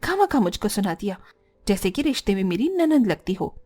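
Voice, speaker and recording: A woman's voice: medium pitch, sing-song, a little fast, darkish tone. A woman voicing a dutiful young bride who is polite to elders and grumbles to herself, in a cartoon family story. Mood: grumbling. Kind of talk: cartoon family drama acting.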